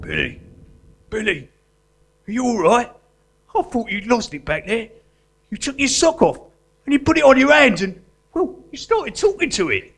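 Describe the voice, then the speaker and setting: A man acting as an earnest artist stuck in prison, with a high, swooping voice. He stammers and hesitates, fretful and coaxing, in the manner of live stage comedy. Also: big pitch swings, moderate pace, long pauses, fairly bright tone, full character voice. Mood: concerned, coaxing. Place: live performance venue.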